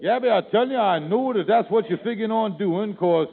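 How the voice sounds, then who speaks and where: An actor playing a nervous, stammering schemer in a 1940s radio comedy. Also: male voice, sits high, a little fast, loud music behind the voice. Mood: fretful. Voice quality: fretful, stammering. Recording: tinny old radio broadcast recording.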